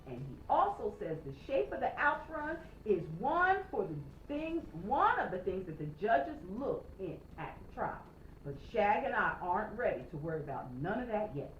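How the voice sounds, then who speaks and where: A woman reading a children's novel aloud expressively as a storytime reader, with dramatic, emphatic pauses. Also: medium pitch. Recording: roomy, slightly noisy, dull home recording.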